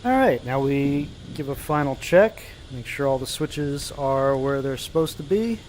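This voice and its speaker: A man's voice: medium pitch, expressive, measured pace, darkish tone, slight background noise, rough recording. A man with a stuffy, slightly nasal voice narrating a simulated flight live, explaining as he goes with many fillers. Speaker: flight sim pilot streaming.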